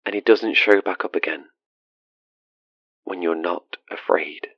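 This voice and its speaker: An actor playing a middle-aged father in an audio drama, wistfully confessing a tender, haunting ghost story in a hushed way. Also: sits low, a little slow, dry recording, muffled recording. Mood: wistful, reflective. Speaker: caller to a radio show.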